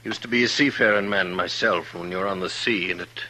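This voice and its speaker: An actor playing a gruff, wistful drifting ex-seafarer in an old-time radio western, husky and plain-spoken. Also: male voice, sits low, brisk, pushed and bright, a little hiss or hum, rough recording. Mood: reflective, weary. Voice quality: husky, raspy. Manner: folksy, plain-spoken, hesitant questioning.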